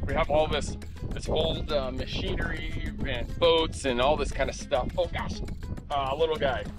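A man in his thirties, outdoors on a boat, casually explaining his fly fishing into an action camera near his face, chatty with fillers and restarts and bursting into excited exclamations. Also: on the high side, moderate pace. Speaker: fly fishing angler and host. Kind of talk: casual on-the-water vlog narration. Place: outdoors on a boat in open water. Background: music.

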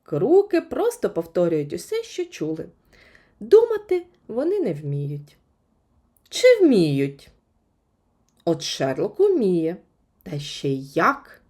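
A woman reading a children's story as an expressive audiobook narrator. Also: lowish voice, slow, pauses often.

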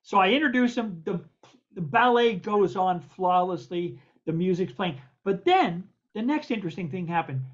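An older man, a producer and co-host, telling an emphatic, animated story over a video call. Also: sits high, normal speed. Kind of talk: casual storytelling. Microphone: video call webcam. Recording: dull lo-fi video call audio.

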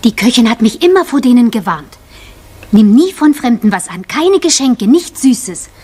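An actress playing a naive young housemaid, breathy, eager and deferential, in a German radio crime drama. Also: female voice, fairly high pitch, very animated, normal speed, a little mellow. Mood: earnest, dramatic. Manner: deferential, earnest.

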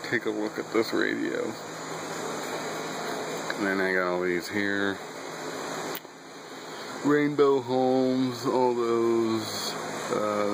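A young man casually walking through his cluttered garage workshop, listing his fan collection in an offhand way with many fillers, filmed on a handheld phone. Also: fairly low pitch. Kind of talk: casual handheld walkthrough narration. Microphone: handheld phone camera. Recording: loud background hum and room noise.

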